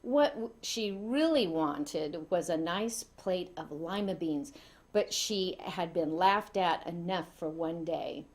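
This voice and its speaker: A woman reading a children's story aloud in an expressive way, leaning dramatically on key words. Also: lowish voice, slow pace. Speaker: storybook reader.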